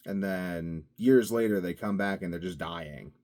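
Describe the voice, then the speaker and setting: A man's voice: sits low, unhurried, darkish tone. A man talking to his own video channel in a casual, rambling monologue, musing and drifting from thought to thought with plenty of fillers. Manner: musing, drifting between topics with frequent fillers. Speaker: vlogger.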